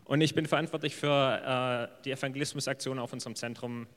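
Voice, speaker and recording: A man's voice: medium pitch, steady tone, a bit bright. A man interpreting a speaker live for a church audience, often restarting mid-phrase. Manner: quick, with restarts and fillers while translating. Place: church hall. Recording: reverberant hall.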